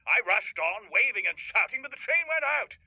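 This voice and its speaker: An actor playing a flustered, reluctant English army major in a radio drama, upper-class and stammering, heard through a telephone filter. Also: male voice, high voice, sing-song, moderate pace, sharp tone, no room sound, heavily acted. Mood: agitated. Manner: flustered, hesitant, stammering repeats. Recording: tinny, narrow telephone line.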